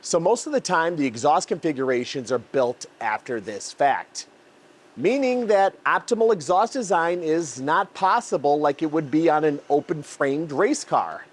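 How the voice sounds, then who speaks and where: A man's voice: fairly high pitch, animated, normal speed. A man hosting a technical explainer as a custom exhaust builder, speaking in articulate, emphatic phrases like a prepared narration. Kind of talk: semi-scripted explainer narration on car engineering.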